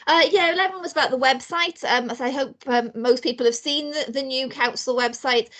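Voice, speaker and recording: A woman's voice: high voice, a little fast, fairly bright tone. A woman council cabinet member giving a hesitant, filler-heavy update in an online meeting. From Wales. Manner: hesitant, many restarts and fillers. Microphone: video call.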